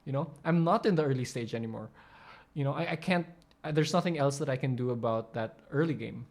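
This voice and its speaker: A man talking through a clip in a loose, unscripted way, stopping and restarting mid-sentence, filling gaps with "um" and "like". his pitch is middling, neither high nor low. he sounds like he is thinking out loud as he goes. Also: a little fast. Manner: rambling, many fillers and restarts. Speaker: channel host explaining coaching. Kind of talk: casual commentary over a video.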